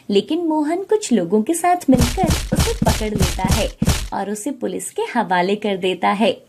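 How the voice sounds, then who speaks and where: A woman reading scripted narration for an animated story. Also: on the high side, slight background noise. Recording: music bed behind the voice. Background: background music.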